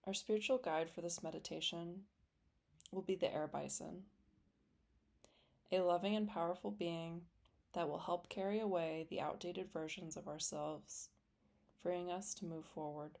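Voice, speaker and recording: A woman leading a guided meditation in a gentle, soothing, deliberate way, with long pauses between phrases. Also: fairly low pitch, steady tone, creaky. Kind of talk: guided meditation, scripted and slow.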